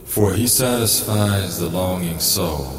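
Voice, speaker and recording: A man giving a solemn dramatized Bible reading in measured phrases with deliberate pauses. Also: low voice, a crowd in the background. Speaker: scripture narrator. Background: music.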